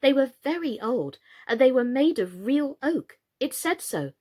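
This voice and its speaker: A woman's voice: high voice, big pitch swings, unhurried, a little mellow, pitched above the performer's own voice, heavily acted. A woman reading a storybook, voicing a bossy young girl who is scornful and determined, with an emphatic, decisive delivery. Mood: eager, impatient.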